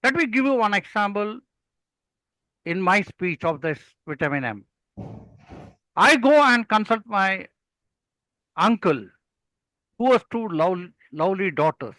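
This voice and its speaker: Older indian guy, high bright voice, mentor telling stories, really emphatic, dry close sound. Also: lively intonation, unhurried, pauses often, dry recording, rough recording. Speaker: public speaking mentor and guest. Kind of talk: interview talk with anecdotes.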